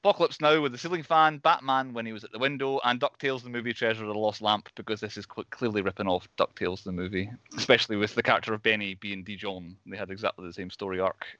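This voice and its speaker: A chatty Scottish man who runs the podcast, rattling through his points at a brisk clip. His voice is bright and forceful, recorded dry with a slightly dull, lo-fi tone. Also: fairly low pitch, steady tone, no room sound. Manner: quick, chatty, laughs often. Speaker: podcast host. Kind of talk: casual chat.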